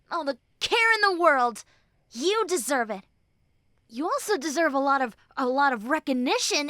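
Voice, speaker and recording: A woman acts out a young tsundere friend in an intimate audio roleplay, her high voice moving slowly and bashfully. She is prickly by nature but now tender and earnest, often halting as she consoles. The sound is very dry and close. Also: a bit bright, dry recording, exaggerated voice. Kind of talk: intimate roleplay audio drama, spoken to the listener.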